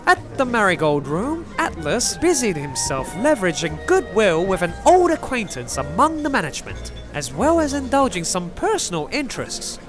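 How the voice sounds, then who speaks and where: A woman's voice: on the high side, fairly bright tone. A woman playing a wry, feisty country woman in an audio drama, talking at length in folksy, colorful phrases. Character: garrulous, wry, feisty country woman telling old tales. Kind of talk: audio drama acting, storytelling.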